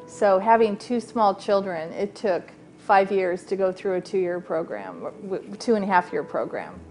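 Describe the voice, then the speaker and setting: A woman's voice: mid-range voice, a little slow, darkish tone, soft background music, poor audio. A woman who works as a nurse, from California, speaking in a heartfelt way in an interview. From Southern California, US. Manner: heartfelt, laughs easily. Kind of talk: reflective interview testimony.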